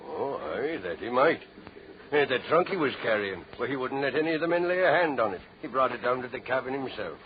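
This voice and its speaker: A man's voice: medium pitch, expressive, brisk, close to an everyday voice. Guy doing a salty old-radio ship captain, gossipy sailor talk, muffled recording with some hiss. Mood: conspiratorial. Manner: salty nautical dialect, chuckling. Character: chuckling, gossipy seasoned British sea captain. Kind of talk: old-time radio drama acting.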